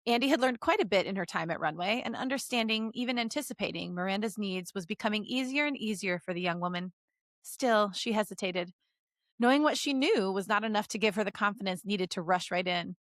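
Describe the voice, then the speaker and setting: A woman with a mid-pitched voice reads a story aloud as a podcast host. She is playful and drops into jokey asides. The sound is very dry, with hardly any room on it. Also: fairly quick, no echo. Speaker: podcast host reading fan fiction aloud. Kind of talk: story reading mixed with joking banter.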